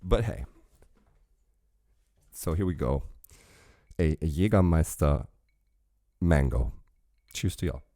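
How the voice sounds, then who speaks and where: A man with an extremely deep, dark voice hosts a casual reaction show, rambling through chatty asides. His voice is recorded close and dry. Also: expressive, slow, long pauses, dry recording. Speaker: reaction channel host.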